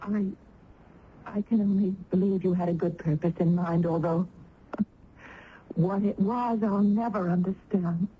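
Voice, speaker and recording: A woman's voice: on the low side, steady tone, measured pace, pauses often, breathy edge. An actress playing an earnest, emotional young village woman in a heartfelt, dramatic old-time radio style. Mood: hesitant, pleading. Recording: dull vintage radio recording.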